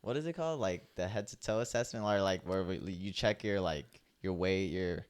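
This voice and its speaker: A young man chatting casually on a podcast, his voice low-pitched and smooth. He rambles through stories at an easy pace, filling the gaps with "like" and "um.". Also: normal speed. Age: young adult. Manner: laid-back storytelling, heavy use of "like". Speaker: podcast co-host. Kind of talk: casual chat.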